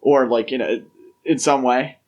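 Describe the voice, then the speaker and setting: A man's voice: medium pitch, fairly even intonation, unhurried, a little mellow. Casual man chatting as podcast co-host. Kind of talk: casual film discussion.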